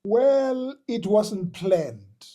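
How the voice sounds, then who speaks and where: An older man from Tanzania, a musician being interviewed, telling his stories slowly with many pauses and repeated words. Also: high voice, expressive, dark tone. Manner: slow, hesitant, with many uhs and repeats. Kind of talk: relaxed storytelling.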